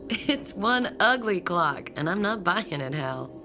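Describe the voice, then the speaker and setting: A guarded young-mannered widow in a radio play. She speaks in a lowish voice, polite but hesitant and quietly firm. Music runs clearly beneath her words. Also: very animated, slow. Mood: skeptical. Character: wary, polite widow of a murdered policeman. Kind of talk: modern radio drama acting.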